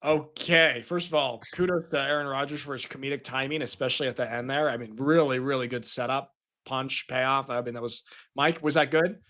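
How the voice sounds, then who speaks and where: A man's voice: mid-range voice, steady tone, brisk, sharp tone. A man in his forties hosting a sports podcast, chatty and joking, rambling along with fillers. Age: 40s. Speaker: podcast host. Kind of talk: casual sports podcast chat.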